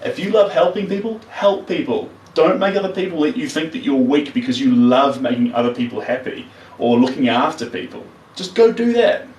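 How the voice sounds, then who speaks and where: A man's voice: on the high side, a little mellow. Earnest man, roomy and distant. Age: 30s. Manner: earnest, motivational, plain-spoken advice. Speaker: painter streaming live. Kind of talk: casual livestream chat while painting.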